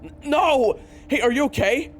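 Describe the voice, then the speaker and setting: A man's voice: very high voice, animated, normal speed, noisy background. A man playing a loyal, hot-headed swordsman who narrates a fantasy story in the first person, with dramatic emotion. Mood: distraught, outraged. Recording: echoey, reverberant, music underneath.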